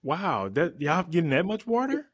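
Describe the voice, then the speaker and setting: A man's voice: a little high, huge pitch swings, normal speed, slightly rough. Casual American man hosting an interview. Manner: informal, conversational. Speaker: interview host, vegan chef and influencer. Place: indoor room, remote video call. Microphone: desktop condenser microphone up close.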